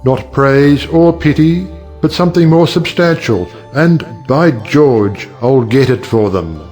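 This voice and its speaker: An actor playing a witty, cynical middle-aged uncle and judge who lectures rhetorically in an audiobook stage play. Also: male voice, medium pitch, slow, a little mellow, soft background music, natural voice. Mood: earnest, argumentative. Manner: rhetorical, sardonic, declamatory.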